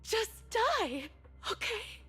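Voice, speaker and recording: A woman's voice: very high-pitched, very animated, extremely slow, a bit bright, soft background music, full character voice. A woman playing a cruel, resentful, unhinged mother in a video game, melodramatic, between venom and sugary pleading. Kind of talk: dramatic video game voice acting.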